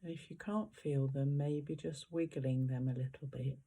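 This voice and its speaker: A meditation guide giving gentle, unhurried guided instructions with long pauses between phrases. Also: mid-range voice, steady tone, husky.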